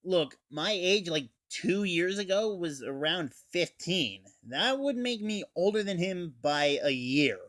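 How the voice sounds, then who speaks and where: A man's voice: high voice, animated, a little slow, few pauses, fairly bright tone, no echo. A teenage male reviewer giving an informal, sweary, scripted comic recap. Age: teens. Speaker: anime reviewer.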